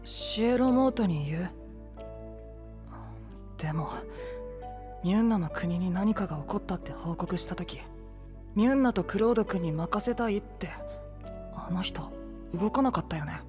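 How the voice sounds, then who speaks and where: A man's voice: sits high, animated, normal speed, pauses often, music in the background. An actor playing a prickly but caring boyish young man in an anime voice drama, with tart, sulky phrasing. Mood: irritated. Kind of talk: Japanese anime voice drama acting.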